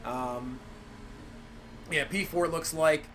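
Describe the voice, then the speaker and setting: A man's voice: a little high, slow, fairly bright tone, a little hiss or hum, low-quality recording. A man with a cold, rambling through casual gameplay commentary and leaning on fillers like "obviously" and "for sure". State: has a cold. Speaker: gaming channel host.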